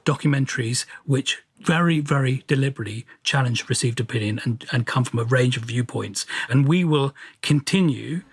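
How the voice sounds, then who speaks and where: A man speaking formally as a radio executive, with measured and deliberate, earnest phrasing. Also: medium pitch, breathy edge, dry recording. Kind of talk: formal spoken statement.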